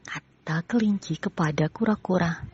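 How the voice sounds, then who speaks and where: A woman's voice: lowish voice, normal speed, darkish tone, husky, dry recording, dull audio. A woman narrating a scripted children's story as a storyteller.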